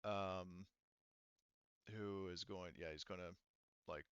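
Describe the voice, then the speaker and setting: Man, game master, smooth clear voice, halting think-aloud narration, casual, dry and slightly dull recording. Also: sits low, steady tone, a bit bright, no room sound. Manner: halting, thinking aloud while working out rules. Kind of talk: running a tabletop roleplaying game, casual improvised narration.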